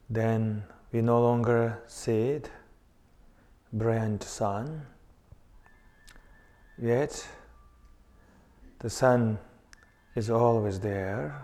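A man leading a guided meditation in short, contemplative phrases with long pauses between them. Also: mid-range voice, mellow. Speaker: meditation teacher. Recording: long reverberant hall echo.

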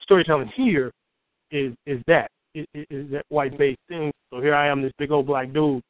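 An outspoken man from Chicago with a booming voice, sitting somewhat high in the male range. He tells his story as an interview guest, piling up repeated words when he gets going. The sound is dry and tinny, like it's coming down a phone line. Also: moderate pace, dry recording.

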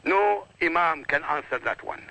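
A man's voice: a little high, moderate pace, vocal fry, bright, forceful tone, highs cut off. A man whose first language is Arabic, arguing insistently on a radio talk show and lecturing with emphatic repetition. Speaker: guest.

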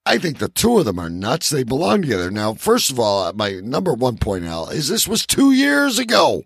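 A man's voice: mid-range voice, huge pitch swings, normal speed, vocal fry, a bit bright, slightly rough, no room sound, studio quality. A man co-hosting a podcast in casual banter and letter reading, with a blunt, profane way of talking. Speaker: podcast co-host.

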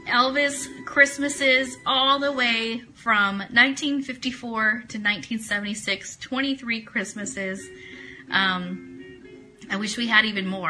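Very bright-voiced woman radio host over music. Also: high voice, rough recording. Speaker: host of an online music fan radio show. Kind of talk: reading a prepared account aloud on a live stream, greeting viewers.

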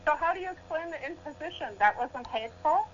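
A woman's voice: high voice, expressive, a little fast, a little hiss or hum. A woman psychologist, a guest in a heated radio debate, firm and indignant as she talks over interruptions. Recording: dull lo-fi radio audio.

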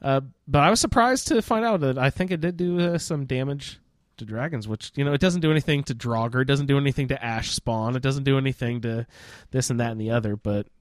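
A man's voice: mid-range voice, fairly quick, no room sound, studio quality. A man chatting casually and enthusiastically as a panelist on a gaming roundtable podcast.

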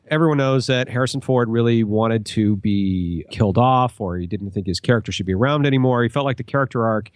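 A man's voice: medium pitch, dry recording, studio quality. A man on a podcast panel, talking casually and analytically.

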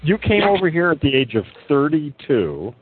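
A man chatting casually, asking eager, rapid questions. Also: a little high, sing-song. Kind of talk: casual conversation. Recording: phone-like line with faint noise.

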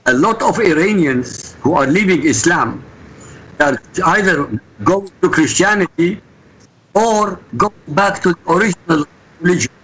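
Guy on an interview, kinda high voice, breathy, pushes every point hard. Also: animated, a little slow, a bit bright, poor audio. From Iraq. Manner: emphatic, insistent. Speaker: guest commentator.

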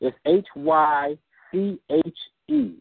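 A man, a singer, speaking as a radio guest, hesitant with many pauses and fillers. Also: fairly high pitch, animated, slightly rough, dry recording. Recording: tinny phone line.

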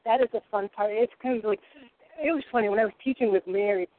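Chatty rhode island lady in her 40s, loud and rambling, sounds like a phone line. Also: mid-range voice, fast. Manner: boisterous, verbose, rambling. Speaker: guest cook. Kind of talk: casual chat.

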